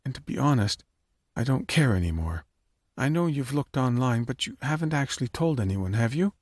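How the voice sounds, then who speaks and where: A man's voice: medium pitch, sing-song, normal speed, no room sound, pitched above the performer's own voice. A man reading an audiobook, voicing a serious, earnest young woman time traveler. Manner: earnest, matter-of-fact, close to tears at times. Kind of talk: audiobook character voice.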